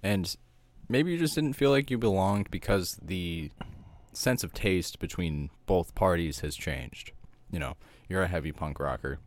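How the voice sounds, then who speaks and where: A young adult man co-hosting a podcast, soft-spoken and calm, talking in a measured, reflective way. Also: deep voice. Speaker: podcast co-host. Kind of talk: casual chat.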